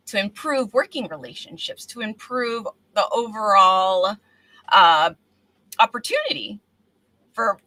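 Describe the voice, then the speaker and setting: A woman's voice: medium pitch, sharp tone. A woman hosting a casual live-streamed interview, speaking in an encouraging, affirming manner. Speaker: talk show host.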